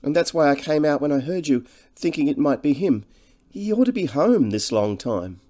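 An anxious, humble country mother from a storybook, played by a male reader. The pitch is lifted well up and the tone is soft-edged. The speech is deferential and hesitant, fretting in small repeated phrases. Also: moderate pace, natural voice. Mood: fretful, preoccupied. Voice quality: monotonous. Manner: deferential, fretful, hesitant, repetitive. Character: anxious, humble working-class English countrywoman, fretful mother.

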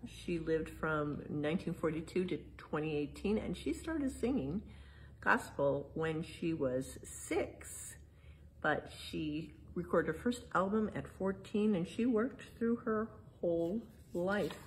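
Slow, low-voiced woman reading to children. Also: animated, a little hiss or hum, low-quality recording. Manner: spells out page titles letter by letter, then explains gently to children. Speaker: museum storytime reader. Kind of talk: children's read-aloud with explanations. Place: indoor museum exhibit hall.

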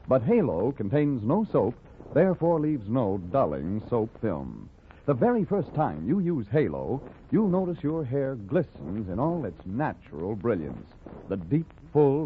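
A man's voice: medium pitch, a little hiss or hum. A man hosting and announcing in a scripted 1940s radio style. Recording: tinny 1940s radio broadcast recording.

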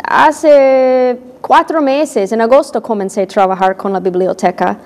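A woman's voice: a little high, measured pace, a little mellow, in a large hall. A young woman, a children's librarian and a second-language Spanish speaker, answering interview questions carefully with some hesitation. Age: young adult. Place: small TV studio set. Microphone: lapel.

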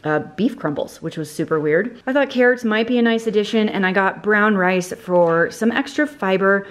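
A woman hosting a casual home cooking vlog, chatty and quick to laugh at herself, in a home kitchen. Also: mid-range voice.

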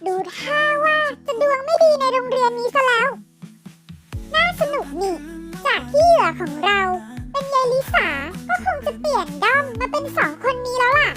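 A woman's voice: very high voice, animated, normal speed. A woman voicing a haughty rich teenage schoolgirl who mocks scholarship students, in a fan-made cartoon. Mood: scheming. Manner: sneering, mocking. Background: background music.

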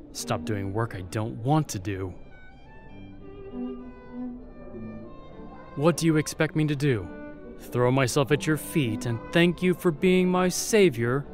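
A man's voice: medium pitch, close to an everyday voice. A man playing a jaded, defiant young spy at a royal court in an audio roleplay, sardonic and guarded. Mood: scornful, bitter. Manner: sardonic, bitter, guarded, with pauses.

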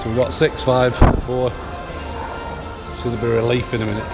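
Loud drum and bass fills a big gym while a middle-aged Northern English man talks into a handheld action camera. His pitch is middling, and his chat is blunt, rambling and sweary. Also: flat delivery, brisk. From northern England. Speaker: gym vlogger. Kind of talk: casual rambling vlog chat. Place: large indoor gym with metal roof. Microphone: handheld action camera at arm's length. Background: loud drum and bass music.

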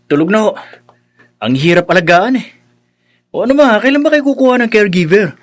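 A man's voice: sits high, lively intonation, brisk, no echo, clean studio sound. A man with a Filipino accent playing a spiteful, lazy young bully of a son in a radio drama, taunting and whiny. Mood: whiny, reluctant. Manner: taunting, whiny when told to work.

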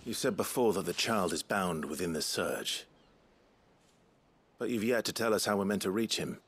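A man playing a brooding, courteous warrior hero in a fantasy video game, gravelly and husky, terse and earnest. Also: deep voice, animated, moderate pace, a bit bright. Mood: dry, resolute. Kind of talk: video game cutscene acting. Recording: music playing behind the voice.

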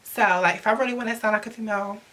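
A woman's voice: medium pitch, fairly even intonation, racing, sharp tone. Young woman, sassy and slangy, talking close to the camera, calls everyone sis. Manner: chatty, sassy, slangy, addresses viewers as sis. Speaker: vlogger. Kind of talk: casual advice vlog. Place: indoors in front of a glittery backdrop. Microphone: camera at close range.

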